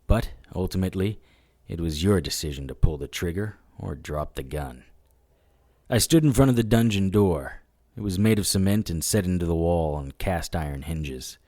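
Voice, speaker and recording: Audio drama lead: world-weary demon hunter narrating, very low, dry studio sound, matter-of-fact. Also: male voice, lively intonation, moderate pace, warm tone, dry recording. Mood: grim resolve. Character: hard-boiled male demon hunter, world-weary and sardonic. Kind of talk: first-person noir audio drama narration.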